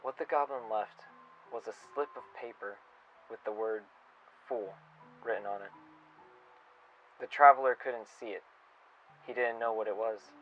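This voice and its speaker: Male actor as pensive staff member, audio log storytelling, sincere halting delivery, dull lo-fi sound, music underneath. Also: fairly low pitch, a bit bright. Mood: gentle, solemn storytelling. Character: reflective, wistful male staff member logging an audio report.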